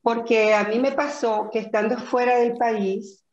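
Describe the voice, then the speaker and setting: A woman's voice: on the high side, steady tone, a little slow, darkish tone. Echoey Venezuelan woman reminiscing. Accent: Venezuelan, from Zulia. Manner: reflective, many fillers and restarts. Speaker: interview guest. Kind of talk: conversational interview. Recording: echoey, dull lo-fi audio.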